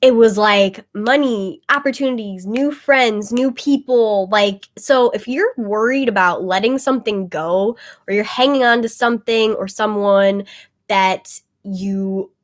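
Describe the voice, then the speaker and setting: A woman's voice: mid-range voice, a little slow. A woman chatting casually on a webcam livestream, rambling with lots of "like" fillers and a slightly phlegmy voice. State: a bit phlegmy, congested. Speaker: tarot reader livestreaming to viewers.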